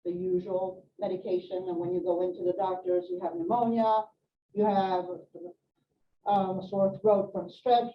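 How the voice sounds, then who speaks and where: Middle-aged woman, echoey room. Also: mid-range voice. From Sweden and France. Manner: chatty, informal, many fillers and asides. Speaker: nurse educator. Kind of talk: live presentation to an audience. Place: hospital meeting room. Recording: echoey room, distant mic, dull sound, faint noise.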